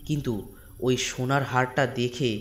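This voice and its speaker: A man narrating a scripted folk ghost story in measured, short phrases. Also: mid-range voice, level tone.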